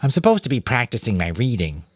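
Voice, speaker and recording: A man's voice: medium pitch, wildly swooping pitch, fast, no echo. A man voicing a small young girl rat, childishly earnest, blunt and suspicious, in an audiobook. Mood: doubtful.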